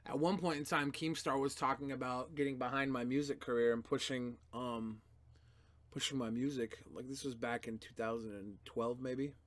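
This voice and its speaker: A young man hosting a casual livestream, answering chat questions in a loose, profane way that swings between mumbling and loud outbursts. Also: mid-range voice, steady tone, moderate pace.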